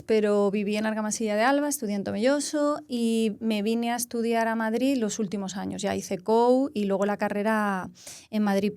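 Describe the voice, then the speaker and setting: A woman in her forties from Castilla-La Mancha, a psychologist speaking in a relaxed interview, explaining with examples. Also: medium pitch, clear, resonant tone, no echo, studio quality. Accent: Spanish from Castilla-La Mancha. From Spain. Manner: reflective, explains with examples and rephrases. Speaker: psychologist guest. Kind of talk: conversational interview.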